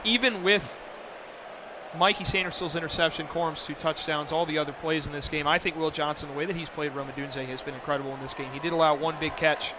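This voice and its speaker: A man's voice: on the high side, steady tone, quick pace. An American man giving conversational, stat-minded color commentary on a football game for radio. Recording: noisy stadium broadcast background.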